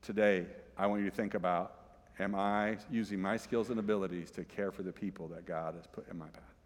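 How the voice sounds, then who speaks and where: A man's voice: low voice, fairly even intonation, fairly quick. Conversational male preacher in a reverberant hall. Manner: conversational, jokey, asks the congregation questions. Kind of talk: sermon. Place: church sanctuary.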